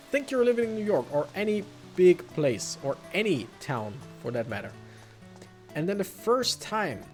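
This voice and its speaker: Halting, rambling gamer, a woman over music. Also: low-pitched, darkish tone. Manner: halting, rambling, thinking aloud. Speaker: let's player commentating a video game. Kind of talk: casual let's play commentary.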